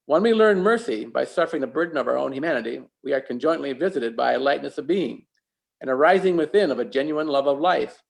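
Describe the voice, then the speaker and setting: A man delivering a reflective sermon as a preacher, speaking deliberately and pausing for emphasis. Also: mid-range voice, animated, vocal fry.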